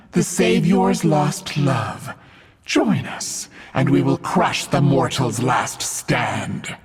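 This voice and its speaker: A woman voicing a malevolent female demon who commands the undead in a video game, menacing and imperious, with a raspy voice. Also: very deep voice, exaggerated voice. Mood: sinister, seductive. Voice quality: raspy, husky. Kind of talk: scripted villain game narration. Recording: long cavernous reverb.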